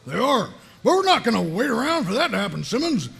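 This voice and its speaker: A gravelly, very high-pitched sergeant for a comedy script. He is gruff, bossy and pompous, barking orders in wide, animated swings over faint background noise. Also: fast, exaggerated voice. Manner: barking, pompous military commands. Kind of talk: comedy script table read.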